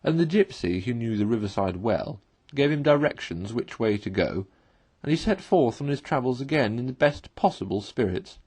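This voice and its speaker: A man reading a classic story aloud as narrator, in an expressive storytelling style. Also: medium pitch, normal speed, slightly rough.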